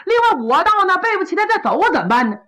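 A woman's voice: sing-song, a bit bright, exaggerated voice. A woman voicing a sly, evasive young man, an official's son, who whines and makes excuses. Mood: whining. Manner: whining, excuse-making, high and fast. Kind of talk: traditional Chinese storytelling.

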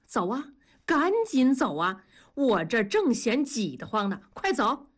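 Sharp-tongued, high-swinging nagging wife , as a storyteller plays her. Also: big pitch swings. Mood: bossy. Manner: mocking, brisk, colloquial northern Chinese speech.

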